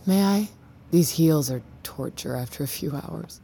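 An actress playing a composed, controlled company boss in a naturalistic audio drama, measured, polished and coolly reassuring. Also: female voice, deep voice, animated, gravelly, noisy background, heavily acted. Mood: soothing, controlled.

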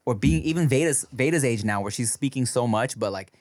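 A man's voice: mid-range voice, expressive, fairly quick, a little mellow, dry recording. A man co-hosting a podcast, telling stories in casual chat, swearing freely and laughing often. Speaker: podcast co-host. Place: podcast studio. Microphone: studio microphone.

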